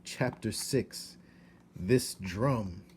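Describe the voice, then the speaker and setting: A man narrating a children's storybook aloud in an expressive, dramatic read-aloud style. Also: lowish voice, very slow, breathy edge.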